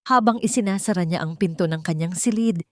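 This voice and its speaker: A woman narrating a romantic drama in a solemn, measured, theatrical storytelling style. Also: fairly low pitch, darkish tone, no room sound, studio quality.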